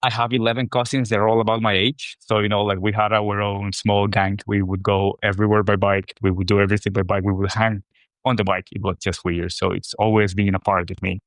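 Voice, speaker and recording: A man in his thirties from Costa Rica, a brand co-founder talking about himself in a relaxed, rambling way. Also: fairly low pitch, brisk, no echo. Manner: relaxed, rambling, with fillers and restarts. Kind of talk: casual interview talk.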